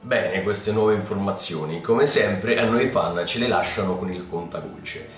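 A young man from Italy presenting anime news to his viewers in a chatty, excitable way, recorded at home on a camera mic. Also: deep voice, unhurried. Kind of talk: casual news vlog. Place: home room with keyboard and lamp. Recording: roomy, slightly distant mic with faint music.

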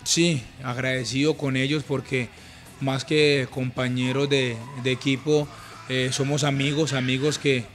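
A young Colombian man giving earnest interview answers on a sofa at home, speaking into a handheld mic, calm and full of small restarts. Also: mid-range voice, unhurried. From Urabá, Colombia. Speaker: interviewed footballer. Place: living room, on a sofa indoors. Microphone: handheld mic held at chest.

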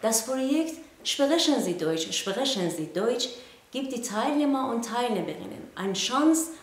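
A woman in her thirties, a project coordinator, giving a measured prepared statement to camera. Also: on the high side, big pitch swings, reverberant. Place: indoor studio backdrop.

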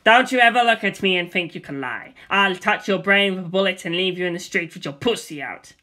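A man's voice: high voice, brisk, bright, forceful tone. A man voicing a menacing, gangster-like grown man who threatens and interrogates.

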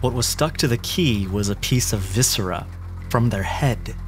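A man narrating a horror tabletop game as game master, with dramatic, descriptive and deliberate phrasing. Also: lowish voice. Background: background music.

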